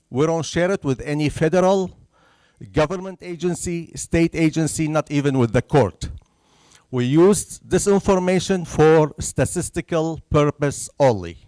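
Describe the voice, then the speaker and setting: A man with a Syrian Arabic accent and a somewhat high voice presents to a room at a senior center. He is earnest and keeps checking that his listeners follow him. The sound is dry and close. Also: darkish tone, no echo. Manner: earnest, checks in with the audience after each point. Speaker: census presenter. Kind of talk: public information presentation.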